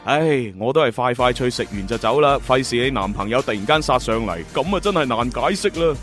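Actor as lively, teasing young male agent. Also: mid-range voice, brisk, soft background music, close to an everyday voice. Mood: teasing, probing. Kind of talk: Cantonese radio drama acting.

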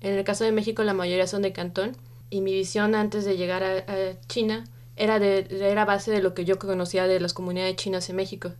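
Woman, journalist on a radio show, steady flat voice, explains things carefully. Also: mid-range voice, a little fast. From Mexico. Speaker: journalist guest. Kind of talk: radio interview answers.

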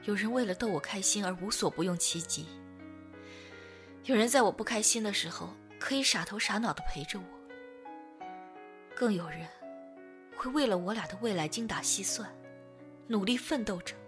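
A woman's voice: medium pitch, normal speed, pauses often, music in the background, close to an everyday voice. A woman acting in a Chinese audio drama as a tender, wounded young woman confessing a past love. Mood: tender reassuring.

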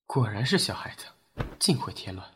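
An actor playing an aloof, proud young formation master in a Chinese fantasy radio drama, with a cool, curt, condescending manner. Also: male voice, lowish voice, big pitch swings, brisk, noisy background. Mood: disdainful.

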